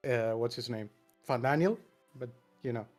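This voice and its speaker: A man's voice: mid-range voice, moderate pace, darkish tone, soft background music. A man from Argentina with an Argentine accent, chatting casually on a panel, hesitant with many fillers and small laughs. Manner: hesitant, many uhs, laughs between phrases. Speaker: podcast panelist. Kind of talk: casual group chat.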